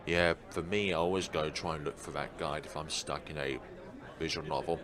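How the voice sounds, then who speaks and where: A man's voice: a bass voice, fairly even intonation, moderate pace, a crowd in the background. A man hosting a let's play, reading a visual novel aloud with casual commentary. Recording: music playing behind the voice.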